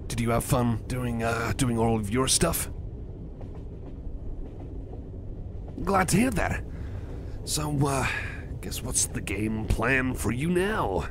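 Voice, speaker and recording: A man playing a bashful, apologetic young cyborg with a crush in an ASMR roleplay, stammering and repeating himself nervously. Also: expressive. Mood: shy, chatty. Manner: stammering, halting, nervous repetitions. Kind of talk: roleplay ASMR, addressing the listener. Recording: clear background train rumble noise. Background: train ride ambience.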